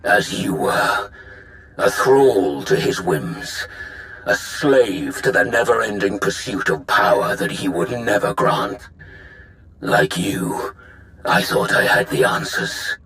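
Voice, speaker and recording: A rebel Sith warlord from a video game. He speaks in a slow, grave, oratorical manner, with a low pitch and a creaking, gravelly edge. He sounds brooding and hungry for power. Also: big pitch swings, a bit bright, exaggerated voice, rough recording. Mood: grandiose contempt. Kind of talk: dramatic video game monologue.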